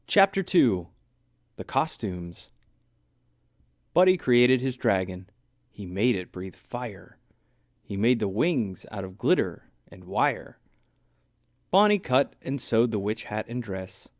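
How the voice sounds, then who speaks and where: A man narrating a rhyming children's story aloud with expressive, deliberate phrasing. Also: on the low side, dry recording, studio quality.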